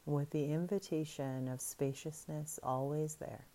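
Woman, meditation guide, soft soothing delivery, very slow pace, dark mellow tone. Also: deep voice, steady tone.

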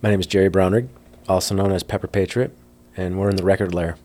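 A man's voice: sits low, flat delivery, fast talker, a little mellow. A man talking casually as a record collector being interviewed. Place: room in an old school building.

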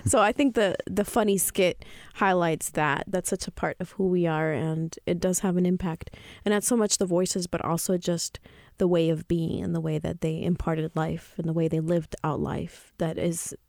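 A woman's voice: fairly low pitch, steady tone, normal speed, darkish tone, no echo, studio quality. A woman from the Dominican Republic, a parenting expert on a podcast, explaining earnestly with some restarts. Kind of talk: podcast discussion.

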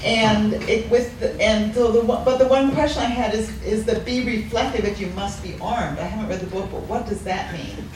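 A woman's voice: a little high, moderate pace, few pauses, a little mellow, slight background noise. A woman in the audience asking a direct, probing question. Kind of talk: question from the floor. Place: seminar hall. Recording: echoey hall, distant mic.

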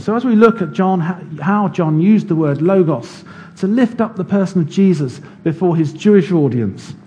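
A man delivers a sermon in a measured, explanatory way, walking his listeners through scripture step by step. His voice sits a little high for a man, with a warm, mellow tone. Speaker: preacher. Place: church.

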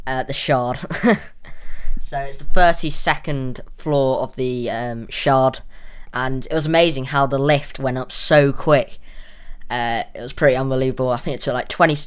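A man's voice: medium pitch, fairly even intonation, normal speed, clean tone. A young man chatting casually and a little hesitantly as a podcast host. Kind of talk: casual chat.

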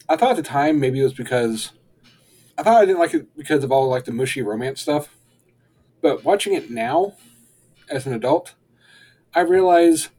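A young man co-hosting a podcast, reviewing an episode in relaxed, rambling casual chat and chuckling often. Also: on the high side, slightly rough. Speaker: podcast co-host.

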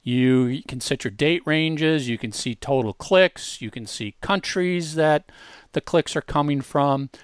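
A man's voice: on the high side, measured pace, smooth, dry recording, studio quality. A man hosting a casual screen-share tutorial, explaining things informally step by step. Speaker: host demonstrating a music marketing tool.

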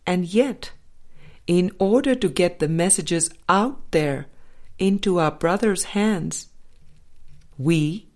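A woman reading a spiritual book aloud as an audiobook narrator, in measured, deliberate phrases with pauses. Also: on the low side. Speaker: audiobook reader.

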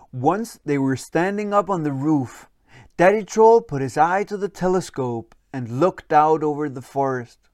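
A storyteller narrating a children's bedtime story in an expressive, deliberate way. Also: medium pitch, dry recording, clean studio sound.

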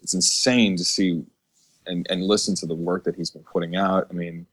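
A man's voice: low-pitched, level tone, moderate pace, fairly bright tone. A man from the United States, a musician guest in a casual interview, speaking earnestly and emphatically with some swearing.

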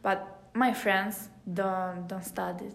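A teenage Italian girl answers interview questions in casual, accented English, her second language. She speaks slowly and haltingly, searching for the right word. The room adds a noticeable echo to her voice. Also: mid-range voice, fairly even intonation, gravelly. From Italy. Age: teenager, high school student. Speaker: podcast guest, exchange student. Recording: roomy echo on the voice.

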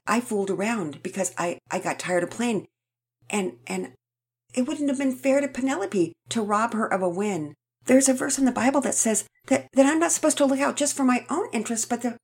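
A sincere young boy in a storybook, tripping over his words as he owns up to a mistake, voiced by a woman. His tone sits a little above her own, lively and a touch husky. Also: a little high, brisk, pitched above the performer's own voice. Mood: earnest. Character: earnest, thoughtful young boy.

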